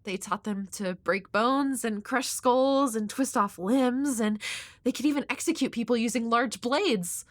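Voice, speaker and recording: A woman's voice: a little high, unhurried, no room sound, close to an everyday voice. A woman playing a shy, awkward, nerdy young woman next door in an audio roleplay, hesitant and rambling with a nervously apologetic manner. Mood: nerdy, eager. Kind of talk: intimate audio roleplay addressed to the listener.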